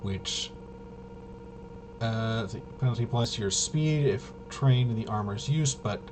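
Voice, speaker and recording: A man giving a casual, off-the-cuff rules walkthrough as a tabletop game reviewer, in a halting, mumbling way. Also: mid-range voice. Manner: halting, mumbling through half-read rules. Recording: clearly noisy home recording.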